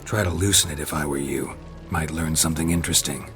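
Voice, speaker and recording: An actor playing a stoic, weary monster hunter in a video game, terse and deadpan, with a gravelly voice. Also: male voice, quick pace, over music. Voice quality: gravelly low voice.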